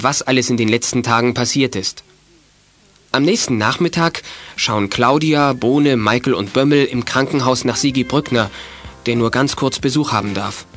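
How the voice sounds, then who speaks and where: Medium-pitched male storyteller of audio drama. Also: normal speed, a bit bright. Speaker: narrator. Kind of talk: scripted children's audio drama narration, present tense.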